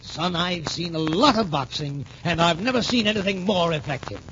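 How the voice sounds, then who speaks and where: Male actor for middle-aged American military school major, 1940s radio drama. Commanding, formal, very animated, on a dull vintage recording. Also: fairly high pitch, normal speed, close to an everyday voice. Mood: pleased, admiring. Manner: authoritative, emphatic, formal. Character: stern but appreciative major heading a boys' military academy.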